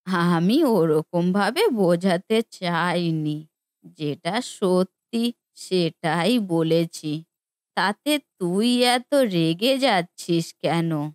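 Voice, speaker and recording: A woman's voice: lowish voice, lively intonation, drawn-out words, no echo, studio quality. A woman voicing a plain-spoken, admonishing older mother and mother-in-law in a Bengali family cartoon. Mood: placating.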